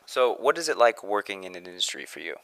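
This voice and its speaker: Low bright-toned guy asking the questions, bit off mic, faint hiss behind. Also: big pitch swings, fast. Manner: short encouraging prompts. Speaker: interviewer. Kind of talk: interview questions.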